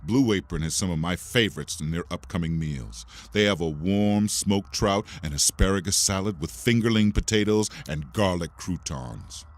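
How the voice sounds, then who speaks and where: A man's voice: low voice, moderate pace, hardly any pauses. A man reading a scripted podcast sponsor spot with playful asides.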